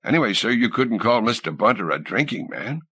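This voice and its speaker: A man's voice: lowish voice, very animated, fairly quick, bright, forceful tone, slightly rough, full character voice. A man voicing a plain-spoken, deferential ship's steward in an audiobook, answering in a respectful, matter-of-fact way. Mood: explaining.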